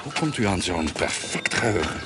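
An actor in a radio play as a gallant, evasive wandering apothecary and charlatan. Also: male voice, deep voice, sing-song, normal speed. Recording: noisy ambience around the voice.

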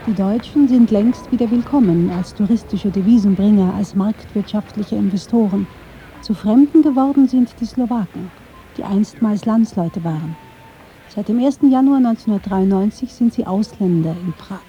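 Woman narrating a radio feature, mellow voice. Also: medium pitch, normal speed, dull audio, slight background noise. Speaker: documentary narrator.

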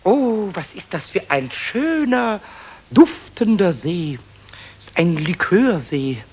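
A tiny, impish male kobold for a children's radio play, very high and swooping wildly in pitch. He is childlike and boastful, stammering with tipsy excitement and slipping into sing-song rhymes. The old recording carries some hiss. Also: takes it slowly, full character voice. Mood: relishing.

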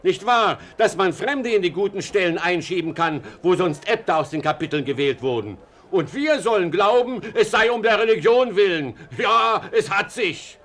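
A high-pitched male voice plays a cautious burgher in an old radio drama. He complains theatrically, with wide, animated swings in intonation, always fretting and wary. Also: normal speed. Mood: grumbling, indignant. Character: cautious, grumbling Flemish townsman worried about the Inquisition. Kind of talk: 1940s German radio play acting. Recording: vintage 1949 radio drama recording.

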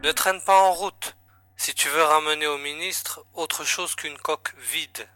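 A man's voice: fairly high pitch, steady tone, a little slow, bright, forceful tone. A man playing a grave, urgent agency chief in a science-fiction audio drama, serious and pressing in manner. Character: grave, urgent male agency chief briefing his agent.

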